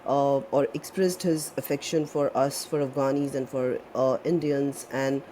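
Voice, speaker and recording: A woman panelist in a casual audio chat room, speaking in a measured, hesitant way with many fillers. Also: rumbling low, steady tone, dark tone, slight background noise, low-quality recording.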